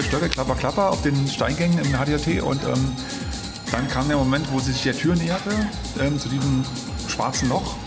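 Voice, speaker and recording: Male interviewee, mid-pitched, halting blunt colloquial speech, loud music behind. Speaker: interviewee recalling the techno scene. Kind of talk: spontaneous interview recollection.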